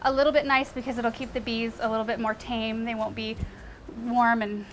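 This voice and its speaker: A woman's voice: on the high side, little pitch movement, brisk, fairly bright tone, slight background noise, poor audio. Bubbly young woman hosting a garden show. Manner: bubbly and chatty, light laughs, frequent "um". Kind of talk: casual how-to narration. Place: outdoor backyard garden, windy.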